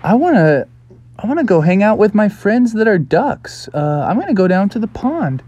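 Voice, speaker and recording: A man voicing an eager, excitable male cartoon frog in a storybook tale, slangy and enthusiastic. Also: high-pitched, normal speed, lo-fi sound, pitched above the performer's own voice. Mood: curious, excited.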